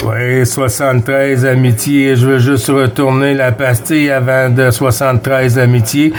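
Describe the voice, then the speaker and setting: A man with a Quebec French accent chats casually as a CB radio operator, speaking in short phrases with drawn-out hesitations. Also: mid-range voice, little pitch movement, slight background noise. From Quebec, Canada. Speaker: CB radio operator running a round-table contact. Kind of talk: casual amateur radio chat.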